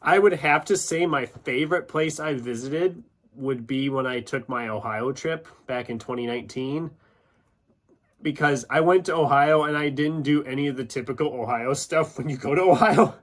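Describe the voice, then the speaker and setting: A man's voice: medium pitch, normal speed. Casual man chatting. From United States, Iowa. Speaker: YouTube book channel host. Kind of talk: casual chatty vlog, answering questions.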